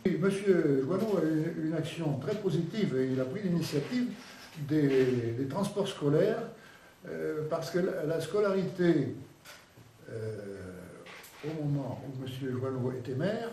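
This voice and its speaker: An older man explaining in a measured, hesitant way, recorded in a dining room. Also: on the high side, expressive, dark tone. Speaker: interviewee. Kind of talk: casual recollection. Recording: echoey room from far off, faint hiss.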